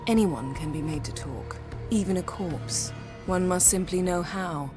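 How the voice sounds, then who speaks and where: A woman's voice: fairly low pitch, unhurried, over music, lightly acted. A woman playing a haughty, impatient sorceress in a video game, with an imperious, sarcastic way of talking. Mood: dry, haughty. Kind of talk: dramatic video game acting.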